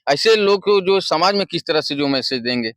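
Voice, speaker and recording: Male street reporter, higher-than-average pitch, dry sound, wordy repetitive delivery. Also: lively intonation, normal speed, fairly bright tone, no echo. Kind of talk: vox pop interviewing and reporting.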